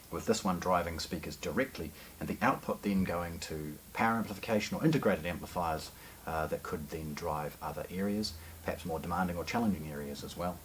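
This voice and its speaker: A man giving an unscripted product walkthrough in a measured, explanatory, slightly formal way, as a shop presenter. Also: deep voice, a little hiss or hum, low-quality recording. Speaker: shop presenter hosting product unboxing video.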